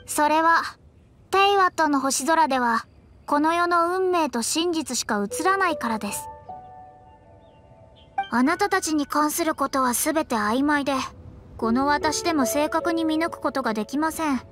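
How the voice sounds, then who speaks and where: A woman's voice: sits high, moderate pace, lightly acted. A woman playing a proud, confident young female astrologer in an anime game, speaking politely with a boastful, self-assured manner. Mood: explanatory. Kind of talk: anime game character dialogue. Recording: background music under clean voice.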